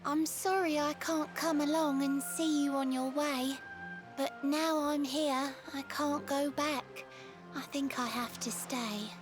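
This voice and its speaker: Game role: lovelorn mermaid, very high voice, wistful theatrical verse over clear music. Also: female voice, steady tone. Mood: grateful, gentle. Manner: theatrical, lilting, measured, sighing. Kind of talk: video game voice acting in rhymed verse.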